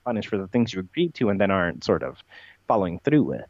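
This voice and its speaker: A man's voice: low voice, sing-song, fast talker, a little mellow, no room sound, lo-fi sound. A man giving unscripted interview answers as a podcast guest, explaining at length in an emphatic, rambling way with repeated phrases.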